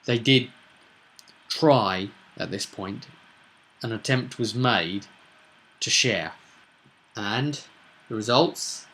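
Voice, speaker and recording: Man recounting a tabletop game, halting and emphatic. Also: mid-range voice, fairly bright tone, low-quality recording. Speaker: game master recapping a roleplay session. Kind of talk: casual, storytelling recap of a tabletop game.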